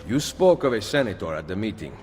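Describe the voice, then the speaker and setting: An actor playing a resolute assassin leader in a video game, with an Italian accent and a grave, terse, decisive way of speaking. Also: male voice, lowish voice, big pitch swings, brisk, a little mellow, in a large hall, slight background noise. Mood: stern, businesslike. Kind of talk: video game cutscene acting.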